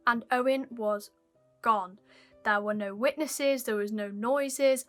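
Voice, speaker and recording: Croaky woman, slightly high voice. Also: bright, forceful tone. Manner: chatty, apologetic, dwells on odd details. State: croaky, losing her voice. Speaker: YouTube host. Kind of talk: casual mystery storytelling to camera.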